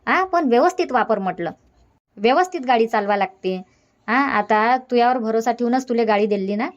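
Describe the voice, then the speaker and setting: Cartoon dub: woman as high-pitched scolding village aunt, Vidarbha accent, muffled lo-fi sound. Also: moderate pace, lightly acted. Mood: firm. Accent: Vidarbha Marathi. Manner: nagging, lecturing, long run-on scolding.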